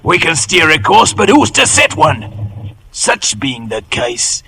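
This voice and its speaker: A man's voice: a little high, huge pitch swings, takes it slowly, sharp tone, full character voice. A man playing a cunning, commanding old cook in a radio drama. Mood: menacing command. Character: cunning, commanding old pirate ship's cook, mutiny leader. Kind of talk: 1940s-50s American radio drama acting. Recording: noisy old radio broadcast recording.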